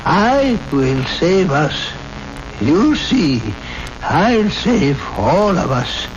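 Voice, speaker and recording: A man's voice: on the high side, very animated, heavily acted. An actor playing an old grandfather who speaks with solemn, visionary fervor in a 1970s radio drama. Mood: fervent, solemn. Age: older. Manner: slow, solemn, fervent. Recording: muffled, noisy old radio broadcast.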